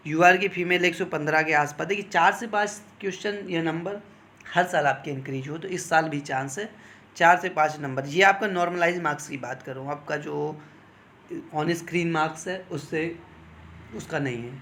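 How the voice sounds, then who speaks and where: Male coaching instructor, fairly high register, informal explanatory delivery with regular check-ins to listeners. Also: normal speed, sharp tone. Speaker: exam coaching teacher advising candidates. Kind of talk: informal explanatory talk on exam cut-offs.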